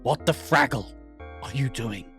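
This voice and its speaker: A man's voice: medium pitch, a little slow, vocal fry, fairly bright tone, faint music behind. A man putting on a cruel, domineering submarine officer. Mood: menacing. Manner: commanding, scornful, insulting. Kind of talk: dramatic reading of a horror visual novel.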